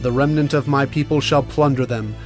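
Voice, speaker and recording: An actor playing a stern, urgent Hebrew prophet of doom in a solemn, emphatic dramatized scripture reading. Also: male voice, mid-range voice, steady tone, a little mellow, natural voice. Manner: solemn, emphatic, deliberate oratory. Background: music.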